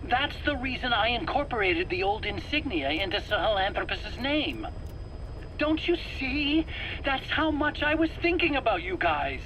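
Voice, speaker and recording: An actor playing a nervous, eager scientist under interrogation in a video game, self-justifying in an earnest, explanatory, pleading way. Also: male voice, very high-pitched, sing-song, normal speed, sharp tone, heavily acted, poor audio. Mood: defensive, pleading. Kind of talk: dramatic video game voice acting. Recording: faint background noise, cassette tape interrogation.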